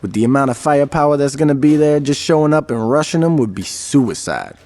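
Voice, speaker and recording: An actor playing a commanding, wry street gang leader in a video game, speaking in authoritative casual slang. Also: male voice, mid-range voice, animated, brisk, a little mellow, faint music behind, lightly acted. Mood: briefing.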